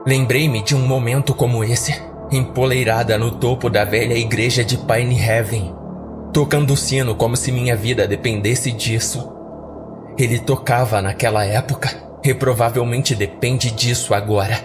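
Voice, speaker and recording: A man narrates a horror story as a scripted podcast piece. His voice sits at an average pitch, delivered dramatically, with clear music running underneath. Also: fairly even intonation, moderate pace.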